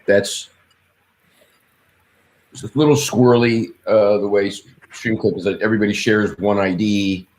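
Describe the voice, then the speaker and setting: A man's voice: on the low side, fairly even intonation, moderate pace, long pauses, a little mellow. A man talking casually as a colleague in a business meeting, explaining how a tool works.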